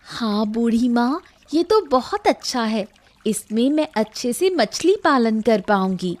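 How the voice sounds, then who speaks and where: A woman playing a determined young village woman in a Hindi animated moral story. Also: on the high side, unhurried, dry recording. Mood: eager.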